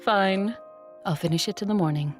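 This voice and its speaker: A woman's voice: mid-range voice, normal speed, natural voice. A woman playing an imperious, disdainful, ancient female vampire conqueror in an audio drama. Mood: wry, haughty. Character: haughty two-thousand-year-old female vampire conqueror. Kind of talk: audio drama narration and acting. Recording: background music under voice.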